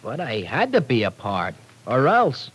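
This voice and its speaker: An actor playing a streetwise young city gang member in a 1940s radio drama, talking tough, sarcastic and bitter in slangy speech. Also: male voice, medium pitch, huge pitch swings, a little slow, fairly bright tone, slightly rough, full character voice.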